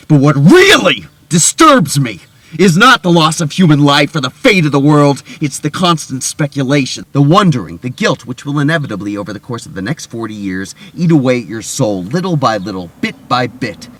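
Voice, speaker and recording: A man's voice: a little high, a bit bright, no echo. A man playing an overwrought friend, persuading with grandiose, insistent rhetoric in a comic dramatic monologue. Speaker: actor in a monologue reel.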